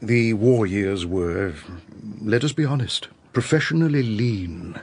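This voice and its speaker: An English actor in a radio drama, playing a pompous, self-dramatising matinee idol with florid, orotund delivery. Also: male voice, on the low side, slow pace, darkish tone, husky. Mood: grandiose, self-pitying. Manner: florid, pompous phrasing with hesitations. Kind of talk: BBC radio drama acting.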